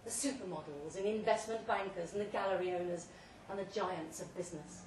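A woman reads a short story aloud at a live event, delivering it theatrically in measured, deliberate phrases. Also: lowish voice. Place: pub event room, read aloud live. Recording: roomy, distant live recording with faint noise.

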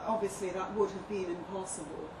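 A woman playing an earnest, articulate author giving an interview, read live as a measured, deliberate dramatic monologue. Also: medium pitch, steady tone, lightly acted. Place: live reading in a pub venue. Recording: distant echoey live room, noisy background.

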